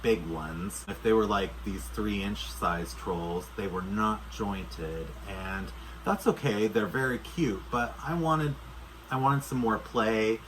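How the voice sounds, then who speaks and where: Middle-aged male vlogger, slightly deep voice, sincere and chatty, light background noise. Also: a bit bright, poor audio. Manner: enthusiastic, sincere, rambling. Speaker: doll maker and vlogger. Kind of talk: casual chat to camera.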